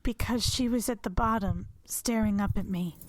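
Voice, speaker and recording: An actress playing a distraught, haunted woman in an audio drama, speaking haltingly as she confides in her psychologist. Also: mid-range voice, slight background noise, lightly acted. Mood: fearful, subdued. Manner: halting, repeating words, trailing off.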